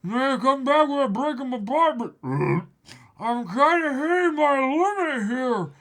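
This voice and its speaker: A high-pitched young pirate hero, acted out by a man, whining and worn out from hunger. The delivery swings up and down, in the manner of a manga read aloud. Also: big pitch swings, unhurried, fairly bright tone, full character voice. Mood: strained, weary. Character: hungry, weary young male pirate hero. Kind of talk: amateur manga dramatic reading.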